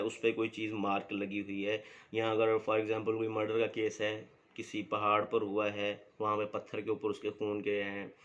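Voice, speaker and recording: A man teaching a law lesson at a mid-range pitch, explaining patiently and padding his sentences with fillers. He slows down carefully whenever he reads legal wording aloud. The recording sounds dull and lo-fi. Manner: explains slowly with many fillers, reads statute text aloud deliberately. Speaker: law lecturer and advocate.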